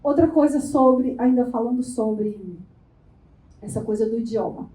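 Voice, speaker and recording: Animated high-voiced woman giving a workshop. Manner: animated, playful, asks the room rapid questions. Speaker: workshop speaker. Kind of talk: lively workshop talk. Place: event room before a live audience. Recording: echoey room.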